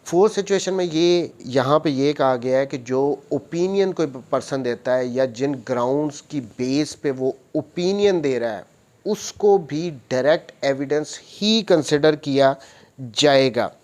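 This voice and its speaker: A man's voice: on the high side, measured pace, darkish tone. A man with a Pakistani accent lecturing on law, reading out clauses and explaining them methodically, rephrasing each point.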